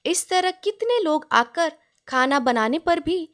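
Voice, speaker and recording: A woman's voice: high-pitched, lively intonation, a little slow, a bit bright. A woman storyteller reading a scripted tale as narration.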